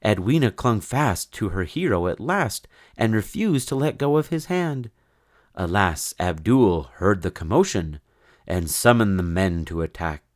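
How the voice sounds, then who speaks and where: A man narrating a rhyming children's story in an expressive, theatrical way. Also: lowish voice, unhurried. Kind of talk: dramatic rhyming children's story read-aloud.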